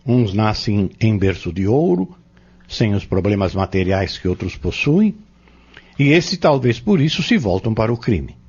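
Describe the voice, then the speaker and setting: A man with a Brazilian accent playing an earnest spiritual teacher in an audio novel, explaining in measured phrases and rhetorical questions. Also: lowish voice, big pitch swings, darkish tone, slightly rough, heavily acted. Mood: earnest, instructive. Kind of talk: dramatized audio novel dialogue, didactic. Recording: dull lo-fi audio.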